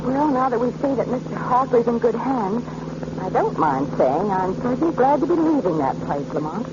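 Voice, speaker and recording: Young heroine: plucky, melodramatic, very animated, golden-age radio drama with heavy noise. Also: female voice, a little high, moderate pace. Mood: relieved, wry. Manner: melodramatic, emphatic. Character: frightened young heroine, plucky, wry, urgent. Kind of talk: vintage radio drama acting. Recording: muffled old radio, loud noise.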